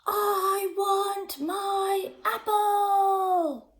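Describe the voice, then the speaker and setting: A woman voicing a hot-tempered, stubborn young male sheep with loud, bleating outbursts in a children's storybook. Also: very high-pitched, fairly even intonation, very slow, slight background noise, pitched above the performer's own voice, heavily acted. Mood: furious.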